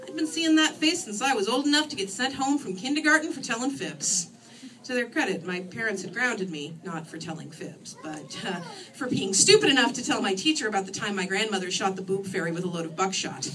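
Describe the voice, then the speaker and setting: A woman reading her first-person novel aloud in an expressive, theatrical and wry manner. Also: medium pitch, a little fast, a crowd in the background. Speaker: author reading own novel. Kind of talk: animated first-person story reading to an audience. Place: screening room, live reading. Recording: distant microphone in a room.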